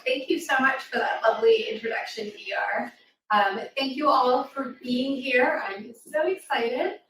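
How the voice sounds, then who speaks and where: A woman's voice: high voice, a little slow, a bit bright, distant mic. A middle-aged woman with an American accent gives an informal talk for children in a teacherly manner. She is heard a little way from the mic in an echoey room, with faint noise. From New York City suburbs, United States. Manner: teacherly, checking in with "right?". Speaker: children's author and former teacher.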